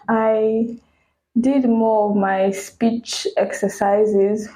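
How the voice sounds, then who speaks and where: Soft-spoken Nigerian woman, mellow and roomy. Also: fairly high pitch. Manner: chatty and candid, speeds up when telling stories. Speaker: podcast host. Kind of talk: casual solo podcast monologue. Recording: roomy echo.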